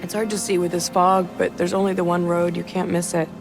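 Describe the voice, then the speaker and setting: A woman's voice: lowish voice, level tone, a little fast, gravelly, over music. A woman playing a nervous, lost young woman searching for her mother in a video game, speaking timidly with hesitant, faltering phrases. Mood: nervous, uneasy.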